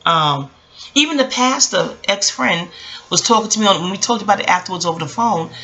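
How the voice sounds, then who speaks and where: Woman in her forties with a bright, creaky voice. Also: medium pitch, a little fast, rough recording. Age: early forties. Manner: rambling, digressive, animated retelling with acted-out quotes. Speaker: vlogger. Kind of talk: casual reflective personal storytelling.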